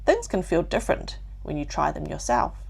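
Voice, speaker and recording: A woman voicing a gentle, patient mother koala in a bedtime story, speaking softly and reassuringly. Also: low-pitched, huge pitch swings, normal speed, vocal fry, breathy edge, full character voice. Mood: proud, tender. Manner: soft, reassuring.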